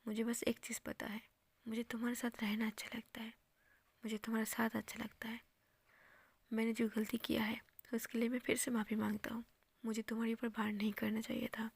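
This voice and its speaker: A woman's voice: on the high side, monotone, fairly quick, creaky, husky, dry recording. A woman whispering as a reserved lovesick young man. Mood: tender. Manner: whispered, soft-spoken.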